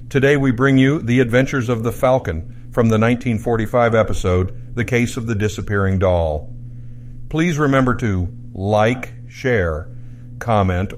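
A man reading a scripted introduction as presenter of an old-time radio podcast. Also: fairly low pitch. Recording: faint background noise.

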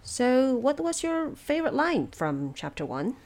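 A woman hosting production notes, explaining things in a measured, semi-scripted and lightly wry way. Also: high voice, sing-song, creaky, a little mellow. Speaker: host of production notes for an audio drama.

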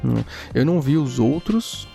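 A Brazilian man with a gravelly, darkly mellow voice talks through a solo podcast episode. He rambles casually and keeps checking in with the listener. Faint music plays underneath. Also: medium pitch, animated, measured pace. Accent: Brazilian Portuguese. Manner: chatty, rambling, frequent "né?" tags. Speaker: podcast host. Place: home in a small town.

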